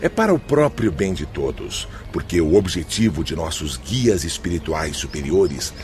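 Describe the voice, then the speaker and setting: An actor playing a dignified, lofty poet's spirit in a Brazilian radio drama, with a pompous, declamatory manner. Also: male voice, extremely low pitch, very animated, normal speed, a little mellow. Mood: firm. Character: Vitor Hugo, dignified, lofty poet spirit. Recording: music under the voice.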